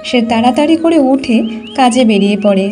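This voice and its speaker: A woman's voice: a little high, expressive, brisk, over music. A woman narrator reading a scripted fairy-tale story for a children's cartoon.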